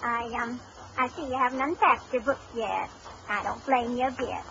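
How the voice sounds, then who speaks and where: A woman's voice: fairly high pitch, moderate pace, gravelly, a bit bright. An actress playing a prim, genteel lady librarian with a proper, precise manner in an old-time radio comedy. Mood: prim, polite. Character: prim, polite public librarian lady making a social call. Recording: muffled, noisy radio recording with audience.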